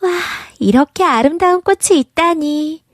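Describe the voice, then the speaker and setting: An innocent young fairy-tale princess, performed by a woman. Her voice is high and lilting, gentle and guileless like a child's, recorded dry. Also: lively intonation, measured pace, no echo. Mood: wide-eyed.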